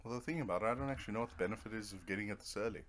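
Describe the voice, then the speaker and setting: A man's voice: lowish voice, racing, a bit bright. A man giving casual let's-play commentary, offhand and muttering to himself as he plays. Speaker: gamer playing and narrating a video game.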